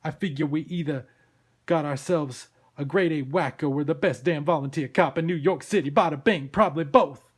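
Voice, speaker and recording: A man voicing a loud, exasperated, wisecracking New York police detective from a comic book, with punchy, blustering delivery. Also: on the high side, moderate pace, breathy edge. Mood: sardonic.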